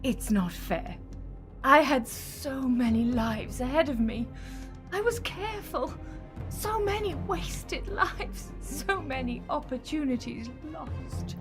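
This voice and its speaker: A woman plays a desperate, bitter renegade villainess in a sci-fi audio drama. Her voice is high and very slow, full of melodrama, over faint music. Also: lively intonation, full character voice. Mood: anguished. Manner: melodramatic, pleading.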